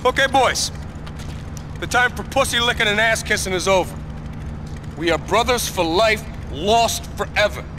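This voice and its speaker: An actor playing a swaggering, sarcastic, hot-tempered biker gang leader in a video game cutscene. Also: male voice, sits high, normal speed, long pauses, noisy background, close to an everyday voice. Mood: rousing. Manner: cocky, sarcastic, profane.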